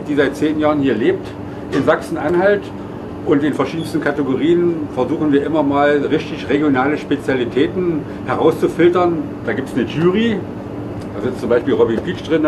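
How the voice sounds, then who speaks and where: Man, slightly higher voice, official award presenter, formal and hesitant, room noise behind. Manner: formal, with many filler hesitations. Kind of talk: official speech.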